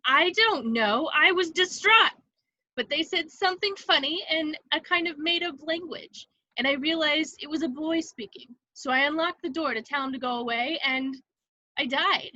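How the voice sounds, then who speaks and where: A woman's voice: high voice, moderate pace, pushed and bright, dry recording, highs cut off. A woman playing the mournful ghost of a teenage girl in a script read, plaintive and dramatic. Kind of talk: amateur table read of a film script.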